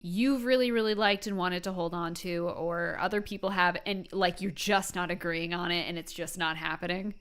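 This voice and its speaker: A woman's voice: lowish voice, fairly even intonation, moderate pace, few pauses, fairly bright tone, clear, resonant tone. A woman hosting a casual interview, asking questions in a curious, playful way. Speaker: interviewer, host. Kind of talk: casual chat.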